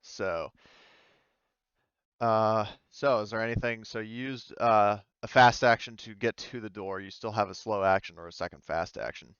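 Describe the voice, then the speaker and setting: A man's voice: fairly low pitch, moderate pace, fairly bright tone, clear, resonant tone, no room sound, highs cut off. A man acting as game master, narrating a tabletop game casually and hesitantly as he thinks his rulings through aloud.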